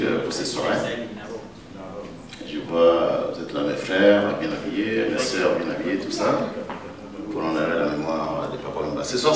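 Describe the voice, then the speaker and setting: A middle-aged man from Congo with a Congolese accent gives an informal tribute speech in a halting, hesitant way. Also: low voice. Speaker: event speaker giving a tribute. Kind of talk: informal thank-you speech. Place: event hall with faint room noise. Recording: echoey hall, distant mic, faint noise.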